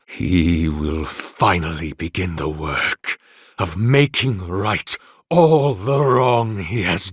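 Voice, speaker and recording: An actor playing a solemn, zealous priest in a video game, speaking in grave, measured, formal oratory. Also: male voice, low-pitched, slightly rough, no room sound, clean studio sound, exaggerated voice. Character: solemn, zealous male priest obsessed with redeeming his god. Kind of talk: dramatic scripted game dialogue.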